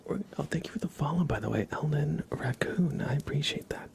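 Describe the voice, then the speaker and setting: Male ASMR streamer, hushed whisper with gravelly fry, casual and soft-spoken delivery. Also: fairly high pitch, animated, a little fast. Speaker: livestream host. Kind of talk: whispered ASMR livestream chat.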